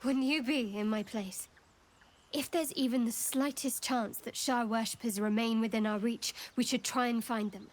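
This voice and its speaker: A woman playing a devout young cleric of a dark goddess in a video game, earnest, formal and guarded. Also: on the high side, steady tone, normal speed, close to an everyday voice. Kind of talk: video game dramatic dialogue.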